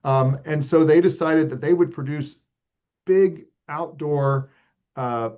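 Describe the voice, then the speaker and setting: A man of middle pitch talks through his answers in an earnest, reflective way and keeps filling pauses with ums and uhs. The audio is slightly muffled, with a lo-fi edge. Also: a little mellow. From Texas. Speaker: arts organization leader, guest. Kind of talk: relaxed interview answers.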